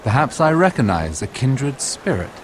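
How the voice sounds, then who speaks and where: An actor playing a smooth, calculating noble merchant in a game, with a silky, courtly, insinuating manner. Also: male voice, medium pitch, big pitch swings, moderate pace, slight background noise, natural voice, rough recording. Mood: sly, persuasive. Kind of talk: dramatic game cutscene acting.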